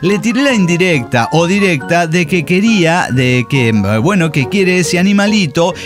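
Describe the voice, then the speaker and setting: Male podcast host, high register, lively comic storyteller, music clearly underneath. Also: moderate pace. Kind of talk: casual comedic radio chat, reading and commenting on listener stories. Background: background music.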